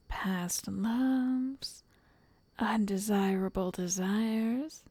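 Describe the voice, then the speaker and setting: Breathy whispering witch, played by a woman, slow and doting. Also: medium pitch, no echo, full character voice. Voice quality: soft, breathy, largely whispered. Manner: slow, coaxing, intimate, addressing the listener. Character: possessive, doting yandere witch, sweetly menacing. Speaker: ASMR roleplay performer. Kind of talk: ASMR roleplay.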